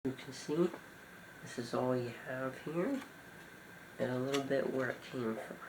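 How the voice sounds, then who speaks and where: A man giving an informal show-and-tell commentary as a collector, talking in short, halting phrases. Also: medium pitch. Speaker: cassette collector presenting a collection. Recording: echoey room with clear background noise.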